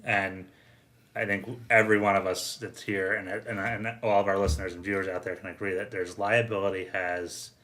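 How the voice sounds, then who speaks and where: Bright, low-voiced male podcast host. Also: flat delivery, moderate pace. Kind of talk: casual discussion hosting.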